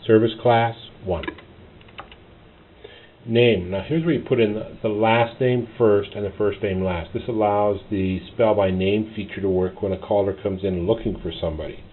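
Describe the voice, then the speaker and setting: A man narrating a step-by-step tutorial as an instructor, often in short, halting instructional phrases. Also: lowish voice. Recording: tinny phone-like audio, clear noise.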